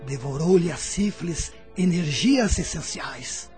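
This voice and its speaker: An actor playing a grave, tender spirit doctor in a radio drama, admonishing solemnly in formal, measured Brazilian Portuguese. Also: male voice, on the high side, expressive, a little mellow, faint music behind. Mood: grave reproach.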